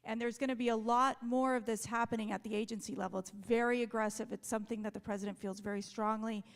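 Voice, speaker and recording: A woman's voice: on the high side, fairly even intonation, quick pace. A woman on a conference panel making eager policy arguments, keen to jump ahead. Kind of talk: panel discussion.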